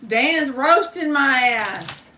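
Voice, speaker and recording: A woman's voice: high-pitched, lively intonation, drawn-out words. A woman hosting a casual livestream as a tarot reader, chatting informally with viewers and giving spiritual readings. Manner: informal, chatty, addresses viewers by name, mild swearing. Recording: roomy, distant mic with faint noise.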